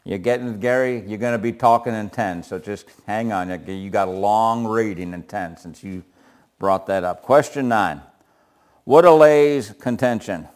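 A man with a loud voice leading a group Bible study, often chuckling and putting questions to the group. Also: lowish voice, moderate pace.

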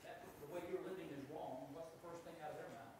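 A man's voice: a little high, quick pace. A man taking part in a Bible study, speaking earnestly and often stumbling over his words. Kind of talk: group discussion remarks. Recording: echoey room, distant mic, background noise.

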